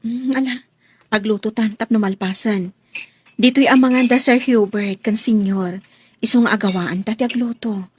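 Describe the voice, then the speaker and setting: A woman's voice: on the high side, moderate pace, close to an everyday voice. A woman acting in a radio drama as a sensible housemaid who questions her friend, on a slightly noisy recording. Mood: practical.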